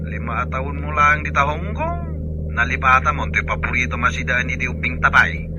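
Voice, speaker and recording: A man's voice: medium pitch, expressive, moderate pace, dull audio, heavy background noise, natural voice. A man acting an evasive, jocular father on a telephone in an Ilocano radio drama, with an Ilocano accent. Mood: sheepish.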